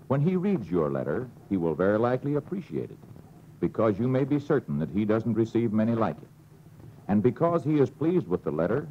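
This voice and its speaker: An American man narrating an instructional film in a measured, instructive way, on a dull old film soundtrack with faint hiss. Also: on the low side.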